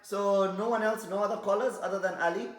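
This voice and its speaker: A man's voice: high voice, fairly even intonation, fast talker, over music. A man with a Kenyan accent co-hosting a podcast, bantering casually and switching between languages. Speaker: podcast co-host and DJ. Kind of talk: casual bilingual chat, reading viewer comments. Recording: echoey room.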